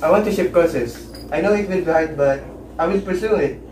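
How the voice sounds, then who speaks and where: High-voiced earnest son played by a man. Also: fairly quick, a little mellow, close to an everyday voice. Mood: pleading. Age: young adult. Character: earnest, frustrated son wanting his own path. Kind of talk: amateur one-act melodrama acting. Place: living room, far camera mic. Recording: echoey room, distant mic, noisy background.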